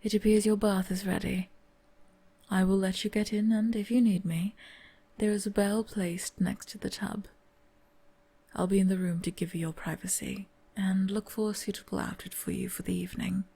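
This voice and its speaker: Whispery masked butler played by a woman, formal and polite, dark husky tone, a little gravel. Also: mid-range voice, flat delivery, normal speed. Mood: hushed. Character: masked female butler, quiet and dutiful. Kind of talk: ASMR roleplay audio drama.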